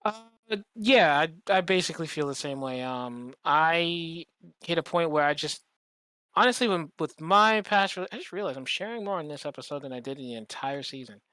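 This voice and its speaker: A man hosting a podcast in casual conversation, speaking informally and a little haltingly. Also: fairly high pitch, lively intonation, sharp tone, no room sound. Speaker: podcast host.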